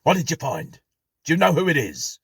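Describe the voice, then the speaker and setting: A panicked man shouts in a high, strained voice that is wild with fear and relief, as a storyteller acts him out. The voice stays gravelly and husky, and the room sounds dry. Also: wildly swooping pitch, a little fast, dry recording, full character voice. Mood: desperate.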